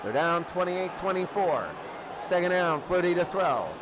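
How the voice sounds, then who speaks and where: A man calling football play by play in short, clipped, excitable phrases. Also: high voice, normal speed. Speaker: play-by-play sports commentator. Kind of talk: live American football commentary. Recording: noisy crowd bed behind commentary.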